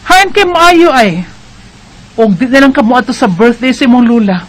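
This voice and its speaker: A nosy, very high-voiced best friend. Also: female voice, expressive, normal speed, low-quality recording. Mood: goading. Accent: Filipino, Visayan. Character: nosy, skeptical young female best friend, teasing. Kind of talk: Bisaya radio drama acting, mixing in English.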